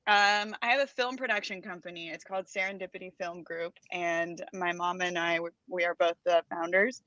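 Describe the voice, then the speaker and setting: A woman from Los Angeles with an American accent speaks as a podcast guest, gentle and a little hesitant, with frequent ums. Her tone is bright and clear, and the recording is dry and close but somewhat dull and lo-fi. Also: on the low side, fairly even intonation, moderate pace, no room sound. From Los Angeles, United States. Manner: soft-spoken, laughs easily, hesitant fillers. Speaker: guest entrepreneur. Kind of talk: casual interview chat.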